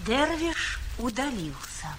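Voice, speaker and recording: A man reads a fairy tale in a measured storytelling way. His pitch moves freely, and noise sits behind him. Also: big pitch swings. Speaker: narrator. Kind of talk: scripted fairy tale narration.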